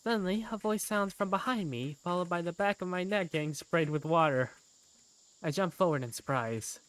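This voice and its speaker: A woman's voice: lowish voice, moderate pace, rough recording. A woman narrating an amateur visual novel in the first person as its lead character, with a wry, conversational manner.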